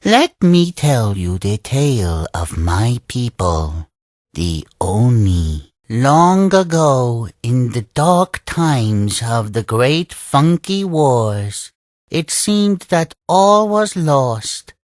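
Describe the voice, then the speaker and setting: An actor playing an old, serene creature king in a video game, speaking in a solemn, measured, mystical way. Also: medium pitch, very animated, a little mellow, dry recording, clean studio sound. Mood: solemn, storytelling. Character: old, serene, sage-like Oni king telling his people's tale. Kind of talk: video game cutscene storytelling.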